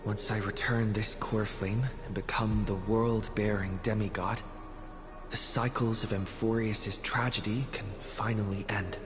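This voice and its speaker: Low, slow, solemn male hero actor. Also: level tone, over music, close to an everyday voice. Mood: solemn resolve. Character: weary, solemn young male hero, quietly resolute. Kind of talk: video game drama.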